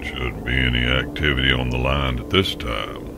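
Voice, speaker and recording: Storybook pony railwayman, played by a male reader: gravel-throated, very deep, puzzled, musing to himself. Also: steady tone, moderate pace, noisy background.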